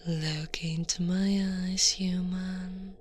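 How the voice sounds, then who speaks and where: Italian woman playing a huge monster woman, breathy whisper, slow and deliberate, coaxing menace, ASMR roleplay, noisy ambient backdrop. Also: fairly low pitch, flat delivery. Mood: soothing menace. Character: towering Krampus monster woman, stern yet soothing captor.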